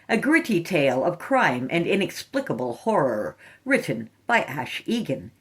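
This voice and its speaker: A woman's voice: low-pitched, slightly rough. A woman hosting a horror podcast, reading a scripted introduction in a theatrical, playfully sinister manner. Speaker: podcast host.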